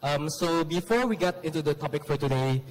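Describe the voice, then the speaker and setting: A teenage boy co-hosts a school talk show in an upbeat, rehearsed way. His voice sits very high, and he adds fillers when he moves to open questions. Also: expressive, a little fast, low-quality recording. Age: teens. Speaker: student host. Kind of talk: scripted show hosting. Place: school hall.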